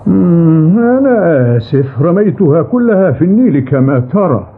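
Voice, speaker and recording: A man's voice: high-pitched, moderate pace, slightly rough, exaggerated voice. An actor playing an old, testy and rueful ferryman telling his story in a dubbed cartoon. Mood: reminiscing. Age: older. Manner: expressive, grumbling, storytelling. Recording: muffled tinny cartoon soundtrack with music.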